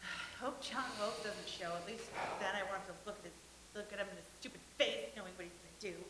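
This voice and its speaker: An actress playing a dramatic, self-absorbed young actress in a staged comedy reading. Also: female voice, mid-range voice, quick pace, close to an everyday voice. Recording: echoey room with faint hiss.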